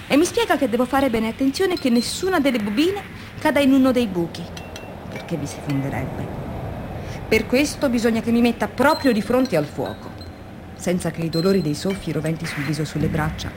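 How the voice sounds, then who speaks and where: An actress playing an earnest, weary woman factory worker in a radio drama monologue, reflective and intense in a theatrical way. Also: on the high side. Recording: noisy radio drama with background ambience.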